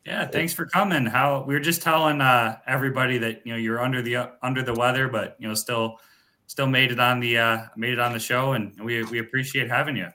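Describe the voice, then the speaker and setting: A man's voice: medium pitch, fairly even intonation, fairly quick, sharp tone. A man from Minnesota hosting a casual podcast, easygoing and full of fillers. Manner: easygoing, filler-heavy, friendly teasing. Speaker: podcast host.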